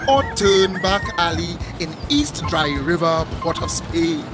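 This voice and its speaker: A measured radio play narrator. His voice is high for a man and he takes his time setting the scene. Music plays prominently behind him. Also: lively intonation.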